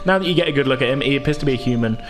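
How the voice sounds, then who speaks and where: A man's voice: mid-range voice, rapid-fire, music in the background. A man running a tabletop game, describing scenes in a casual, informal and hesitant way. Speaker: game master.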